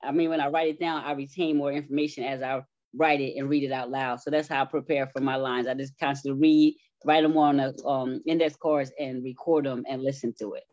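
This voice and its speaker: A woman's voice: rumbling low, steady tone, brisk, a little mellow, no echo. Woman in dry, muffled lo-fi audio. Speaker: stage play cast member, interview guest. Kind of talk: casual explanatory talk.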